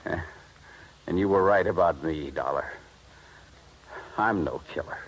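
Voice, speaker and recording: An actor playing a desperate, lovesick thief in a 1950s radio detective drama. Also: male voice, deep voice, measured pace, low-quality recording. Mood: defeated. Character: desperate, lovesick male thief with a gun, crumbling. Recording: old radio recording with faint noise.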